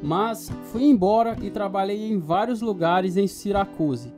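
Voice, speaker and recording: A man's voice: high-pitched, measured pace, darkish tone. An actor playing a troubled man who tells of his fearful youth in an earnest, emotive radio drama style. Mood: somber, reflective. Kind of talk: dramatized first-person story narration. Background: plucked guitar music.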